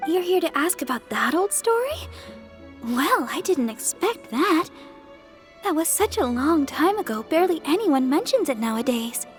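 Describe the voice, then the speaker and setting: Sweet bubbly church girl, really high voice, soft game music under. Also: big pitch swings, normal speed. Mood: earnest. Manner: bright, friendly, eager. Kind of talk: video game voice acting.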